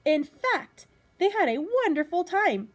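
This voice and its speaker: A woman reading a children's picture book aloud as a teacher does for pupils, pausing to put questions to young listeners. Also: very high-pitched, very animated.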